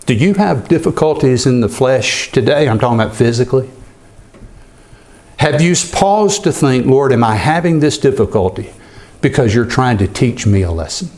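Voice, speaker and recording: A man's voice: mid-range voice, animated, moderate pace, a little mellow. A man pastor preaching an earnest expository sermon, sometimes repeating a word as he goes.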